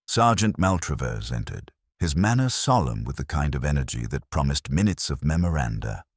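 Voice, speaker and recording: Male narrator for wry, measured mystery drama; very deep pitch, lively intonation. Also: breathy edge, no echo, clean studio sound. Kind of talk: scripted literary mystery narration.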